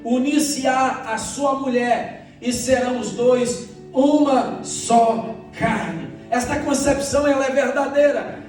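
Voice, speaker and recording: High-pitched Brazilian male preacher, very echoey. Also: a little mellow. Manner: exhorting, repeats phrases, addresses congregation directly. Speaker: pastor. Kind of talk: church sermon. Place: church hall during a morning service. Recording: echoey church sound, distant, faint music.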